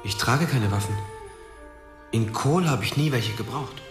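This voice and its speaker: Male actor as young fantasy hero, earnest and inquiring, medium pitch, radio play with music behind. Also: lively intonation, measured pace, close to an everyday voice. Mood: concerned. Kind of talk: German fantasy radio drama acting.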